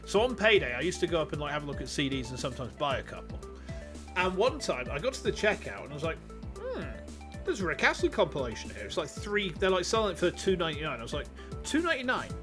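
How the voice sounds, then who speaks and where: A man's voice: fairly high pitch, expressive, quick pace. A man with a Yorkshire accent chatting casually and jokily while streaming a game. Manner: rambling, jokey storyteller. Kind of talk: casual gaming chat. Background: game music.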